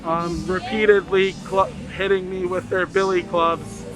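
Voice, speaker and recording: A hoarse man speaks in a fairly high voice, earnestly recounting events. He is being interviewed outdoors on a city street. Music plays softly under the clip. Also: flat delivery, a little slow, low-quality recording. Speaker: protester. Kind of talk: interview testimony.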